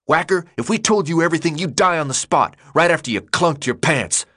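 A man voicing a gruff, impatient teenage boy leader with blunt, slangy speech in an audiobook. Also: mid-range voice, sing-song, moderate pace, fairly bright tone. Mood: angry, commanding. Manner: blunt, slangy, clipped commands. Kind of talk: audiobook character dialogue.

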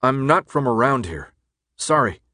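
A man's voice: medium pitch, very animated, measured pace, pushed and bright, no room sound, clean studio sound, exaggerated voice. A man voicing a nervous, apologetic young newcomer in an audiobook, hesitant and pleading. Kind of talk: audiobook character dialogue.

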